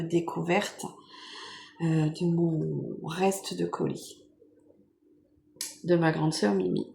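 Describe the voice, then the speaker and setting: French lady with a cold, croaky nasal voice, low and gravelly, rambles quietly at home. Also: slight background noise. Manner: soft-spoken, meandering with many fillers. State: hoarse from a cold. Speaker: vlogger. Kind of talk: casual chat unboxing makeup gifts.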